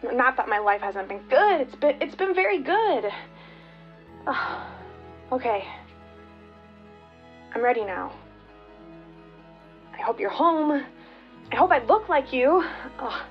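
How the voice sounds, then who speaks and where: A woman's voice: high-pitched, big pitch swings, slow pace, pauses often, muffled recording, faint music behind, heavily acted. A woman playing a nervous, yearning young adopted daughter in a radio play monologue, hesitant and self-correcting. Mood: nervous, hopeful.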